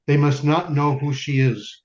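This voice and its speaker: A man acts out a bereaved wartime father on stage, solemn and deliberate, his voice a little high for a man. He phrases heavily and pauses often, tender yet stern. The recording is slightly muffled. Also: fairly even intonation, darkish tone, lightly acted. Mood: grim, resolute. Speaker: stage actor in community theater. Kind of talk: dramatic stage monologue, solemn narration. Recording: dull lo-fi recording, music underneath.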